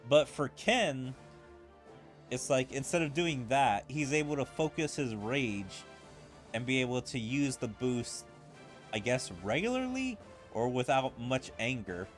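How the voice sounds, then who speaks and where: A man's voice: mid-range voice, lively intonation, a little slow, soft background music. Casual man musing over a game. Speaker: gaming video host. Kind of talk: casual let's-play commentary, reading game dialogue aloud.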